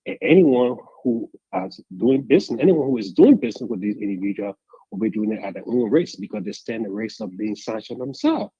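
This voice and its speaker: A man calling in to a radio talk show, speaking in a deliberate, measured way that builds to emphatic points. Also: on the low side, lively intonation, mellow, slightly rough, no echo, muffled recording. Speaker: caller. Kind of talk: call-in radio commentary.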